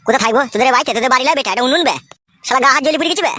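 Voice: high-pitched, very fast, sharp tone. A performer voicing an angry, stubborn, foul-mouthed young son-in-law who scolds and argues in a comedy cartoon. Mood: scornful, angry. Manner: scolding, argumentative, crude.

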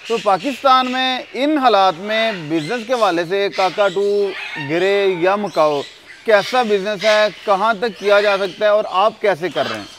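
A man's voice: sits high, unhurried, noisy background. A man from Pakistan interviewing a breeder, asking long questions and interjecting short agreements.

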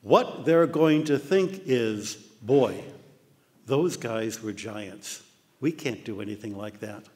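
A man's voice: on the low side, slow pace. Older American man lecturing in a reverberant hall. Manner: animated storytelling with mock voices. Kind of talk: illustrated talk. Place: dark lecture hall with projector screen.

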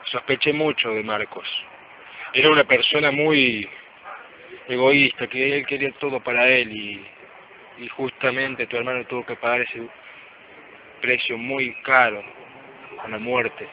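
A man's voice: mid-range voice, steady tone, measured pace, pauses often, lightly acted. An amateur man actor playing a sincere company worker revealing a crime in a radio play. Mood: earnest. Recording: muffled, noisy amateur recording.

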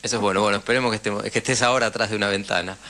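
A man with a Rioplatense Argentine accent hosting a radio interview, forming his questions haltingly and repeating words as he goes. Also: fairly low pitch, fairly even intonation, sharp tone, slight background noise. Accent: Rioplatense Argentine Spanish.

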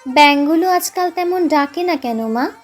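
A woman's voice: high voice, lively intonation, moderate pace, pitched above the performer's own voice. A woman voicing a poor, hopeful, easily hurt little village boy in an audiobook story, with music underneath. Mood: hopeful, curious. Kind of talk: audiobook character voice.

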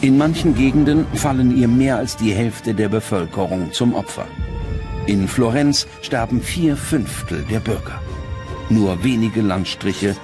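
Low-voiced German documentary narrator, male, over music. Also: animated, measured pace. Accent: standard German. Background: background music.

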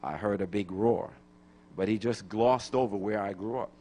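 A man's voice: lowish voice, normal speed, dark tone, a little hiss or hum. A middle-aged man from Brooklyn, New York, preaching a sermon into a handheld microphone in a church, repeating phrases and calling for answers from the congregation. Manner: call-and-response preaching, repeats phrases, rising to emphatic. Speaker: guest preacher.

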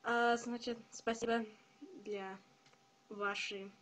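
A young woman who is not a native speaker, talking to her viewers in a careful, hesitant way with many pauses. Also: fairly high pitch, level tone, a bit bright, rough recording. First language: not Russian. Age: young adult. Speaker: vlogger. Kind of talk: casual video address to viewers.

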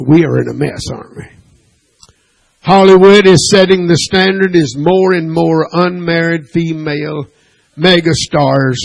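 A man preaching to his congregation in a church sanctuary, speaking slowly and deliberately with a gravelly voice that turns husky. He punctuates his points with "amen" and puts questions to the listeners. He also reads scripture aloud in old-fashioned wording. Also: a little high, poor audio. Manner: preaching with frequent "amen" and call-and-response questions. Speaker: pastor. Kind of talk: sermon.

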